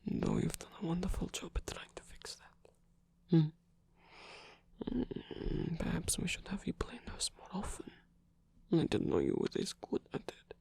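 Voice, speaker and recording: A man playing a flirtatious, theatrical rock singer in an intimate ASMR romance roleplay, teasing and murmuring with breathy, husky, hesitant speech. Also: fairly high pitch, huge pitch swings, a little slow, long pauses, a little mellow, heavily acted. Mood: amused, touched. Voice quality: breathy, husky, often whispered. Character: flirtatious theatrical male rock singer, tired, playfully indulgent lover.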